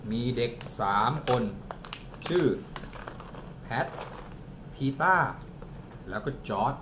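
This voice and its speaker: A man's voice: mid-range voice, noisy background. A man in his forties improvising a ghost story for his young daughter at home, dramatic and drawn out for suspense. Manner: animated, dramatic storyteller who slows down for suspense. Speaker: father telling a bedtime-style ghost story to his daughter. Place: home living room, indoors. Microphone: camera on the table in front, close.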